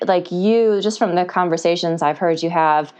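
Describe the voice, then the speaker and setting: A woman's voice: on the low side, normal speed, a little mellow, clean tone. A woman hosting a podcast interview, asking questions in a friendly, hesitant way with many ums and affirming sounds. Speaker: podcast host.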